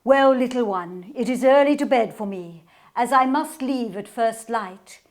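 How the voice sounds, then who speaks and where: A woman's voice: on the high side, unhurried. A woman playing a protective, devoted older sister in a radio play, speaking formally and earnestly. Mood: fond. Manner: formal, earnest period speech.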